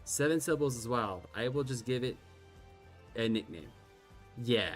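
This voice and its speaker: A man's voice: medium pitch, lively intonation, moderate pace. Man with a slight lisp, rambling. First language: Gaelic. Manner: rambling, self-deprecating, swears casually. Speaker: streamer. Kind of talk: casual gameplay commentary. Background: faint game music.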